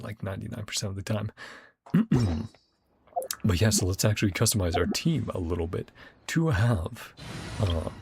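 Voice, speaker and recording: A man's voice: fairly low pitch, creaky, background noise. A man doing casual gameplay commentary as a streamer, often muttering to himself as he thinks. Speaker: streamer playing a video game.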